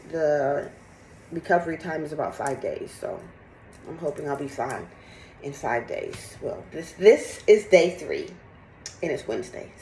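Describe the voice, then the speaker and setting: A middle-aged woman with a slightly raspy, congested voice, chatting candidly and casually in a rambling personal vlog. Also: deep voice, darkish tone, roomy sound, slight background noise, poor audio. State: sick with congested cough. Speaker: fitness vlogger. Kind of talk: casual chat to camera, personal update.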